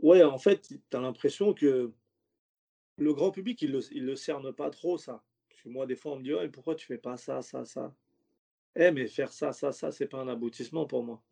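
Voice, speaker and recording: Gravelly, mellow-voiced male comedian. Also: mid-range voice, normal speed. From French suburbs. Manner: loose, rambling, slangy talk with many restarts. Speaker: stand-up comedian, guest. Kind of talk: casual interview chat.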